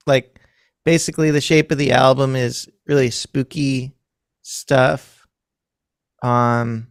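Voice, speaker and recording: A man's voice: medium pitch, creaky, no echo, studio quality. A man in his thirties, a musician chatting casually in a rambling, self-deprecating way and laughing often. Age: 30s. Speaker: musician guest. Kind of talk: casual chat.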